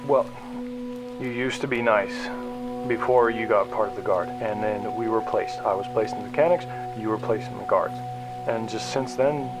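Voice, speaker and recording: A man's voice: fairly low pitch, normal speed, natural voice, poor audio. Man playing an aggrieved sci-fi mechanic, music behind. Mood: hurt, accusing. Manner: casual, conversational, argumentative. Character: frustrated young-ish male mechanic hurt by estranged best friend. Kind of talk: naturalistic sci-fi audio drama acting.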